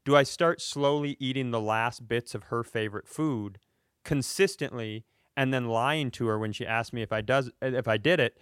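A man's voice: mid-range voice, normal speed, a bit bright, clear, resonant tone, dry recording, clean studio sound. Mock-serious man ranting on a comedy podcast. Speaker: podcast co-host. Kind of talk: casual comedic banter, storytelling.

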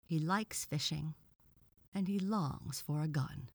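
A woman's voice: low voice, slow, vocal fry, darkish tone, slightly rough, dry recording, exaggerated voice. A woman voicing a pompous, severe male frog professor who lectures with scorn in a children's storybook. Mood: scornful lecturing.

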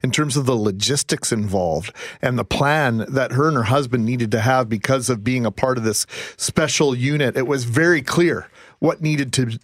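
A man with a Canadian accent giving emphatic, haltingly phrased opinion commentary as a radio co-host. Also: mid-range voice, no echo, studio quality. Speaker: radio talk show co-host.

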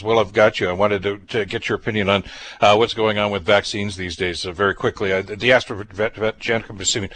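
A man's voice: lowish voice, a bit bright, no room sound. A man hosting a broadcast interview, putting wordy, roundabout questions in a conversational way. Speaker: radio host interviewer.

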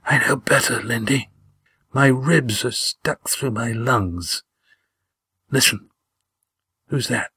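A man acting a dying man, gasping and halting. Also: mid-range voice, sharp tone, dry recording, clean studio sound, full character voice. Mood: pained, commanding. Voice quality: breathy, labored, halting. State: out of breath, in pain. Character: gravely wounded dying man, gasping, urgent but fond.

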